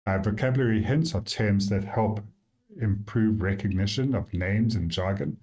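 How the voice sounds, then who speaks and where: A man with a South African accent, the app's developer, casually walking through his software and thinking aloud with frequent fillers. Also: lowish voice, moderate pace. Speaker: app developer giving a demo.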